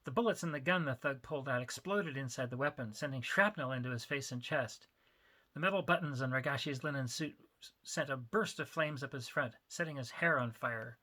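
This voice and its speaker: A man narrating his own story as an author, in an expressive, emphatic dramatic reading style. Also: mid-range voice, brisk.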